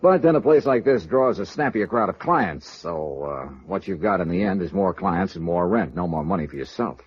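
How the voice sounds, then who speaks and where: A man's voice: sits low, expressive, moderate pace, slightly rough. An American man playing a wry, persistent investigator in a 1950s radio noir drama, with clipped, dry delivery. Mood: cool, needling. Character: wry, persistent male freelance insurance investigator narrating his case. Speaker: lead actor and narrator. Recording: muffled vintage radio recording.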